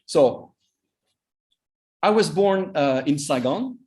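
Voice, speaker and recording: A man in his fifties with a French accent, whose first language is Vietnamese, giving a master class as a cross-cultural coach, halting and often repeating his words. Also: a little high, expressive, a little mellow. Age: 50s. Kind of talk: live online master class talk.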